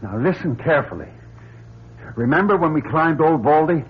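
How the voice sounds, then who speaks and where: A man's voice: fairly high pitch, lively intonation, moderate pace, husky. An actor playing a resolute man leading trapped companions in a radio drama, giving urgent, commanding instructions. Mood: firm, coaching. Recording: dull radio recording with faint hum.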